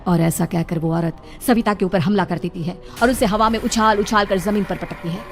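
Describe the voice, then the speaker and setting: A woman's voice: mid-range voice, fairly even intonation, fairly quick, background noise. A woman narrator reading a scripted story in a dramatic storytelling style.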